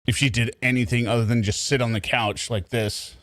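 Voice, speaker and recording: A man hosting casual true crime commentary, animated and riffing, laughing as he goes. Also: medium pitch, brisk, dry recording, studio quality. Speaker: commentary host.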